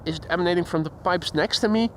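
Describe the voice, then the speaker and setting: Man, Dutch accent, high voice, chatty live commentary, outdoors, faint background hum. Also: quick pace, a bit bright. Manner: chatty, reads chat aloud, imitates sounds. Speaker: plane spotting livestream host. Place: outdoors beside an airport. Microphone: handheld shotgun mic.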